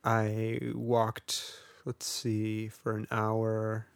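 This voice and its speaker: A man's voice: on the low side, monotone, extremely slow, clear, resonant tone, no echo. A man co-hosting a podcast in casual chat, rambling and wry, poking fun at himself. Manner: wry, rambling and self-deprecating. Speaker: podcast co-host.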